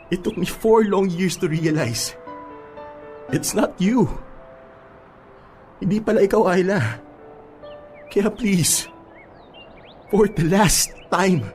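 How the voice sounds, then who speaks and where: Young Filipino man ending a long relationship, exasperated, anguished, emphatic; radio drama with music bed. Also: high-pitched. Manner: earnest, emphatic, switches between Tagalog and English. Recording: music bed under the dialogue.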